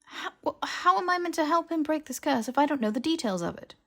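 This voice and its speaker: A woman's voice: sits high, expressive, brisk, a bit bright, pitched above the performer's own voice. A woman acts out a stern, bookish young witch for an audiobook. She speaks in precise, wordy sentences, scolding and exasperated, always the sensible one. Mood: incredulous. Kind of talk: fiction audiobook character voice.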